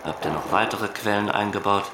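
A man's voice: fairly low pitch, brisk, lightly acted. A man playing a wary, reproachful Soviet KGB officer in a dramatised dialogue, questioning tersely. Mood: stern, guarded. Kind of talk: dramatised reading of a literary dialogue. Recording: roomy sound with loud ambient noise.

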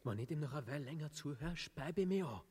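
Hohen, heiseren österreichischen Handlanger. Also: male voice, on the high side, lively intonation, fast, darkish tone, slightly rough. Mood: disgusted, impatient. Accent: Austrian. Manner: blunt, exasperated. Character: irritable, hungry henchman, blunt and scornful. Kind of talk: grotesque comic radio drama acting. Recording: long hall-like reverb.

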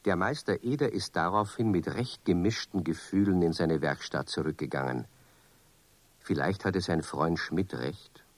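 A man's voice: low-pitched, normal speed, no room sound. A man narrating a children's radio play as a scripted storyteller.

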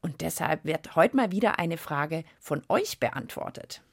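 Lively woman hosting for young listeners. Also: low voice, unhurried, gravelly, very noisy. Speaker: host of a children's science podcast. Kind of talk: playful explanatory banter.

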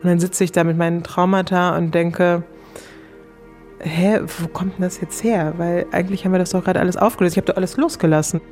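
Low-pitched woman, candid and colloquial. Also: fairly even intonation, brisk, darkish tone, soft background music. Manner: colloquial, candid, self-reflective. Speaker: interviewed coaching client telling her experience. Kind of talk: casual personal recollection.

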